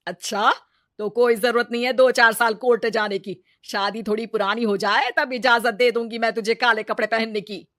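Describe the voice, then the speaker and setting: Sharp-tongued Indian mother-in-law played by a woman: high, dry studio sound, melodramatic taunts for a comic moral story. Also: fairly even intonation, moderate pace, no room sound, lightly acted. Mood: sarcastic grumbling. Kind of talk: exaggerated comedy story acting.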